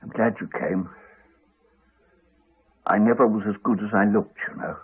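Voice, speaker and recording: An actor playing an earnest, wry, reflective doctor in a 1980s radio drama. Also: male voice, lowish voice, steady tone, moderate pace, husky. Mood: weary, confessional. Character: earnest male doctor, reassuring, wry, later weary and dying. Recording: muffled, narrow-band 1980s radio broadcast.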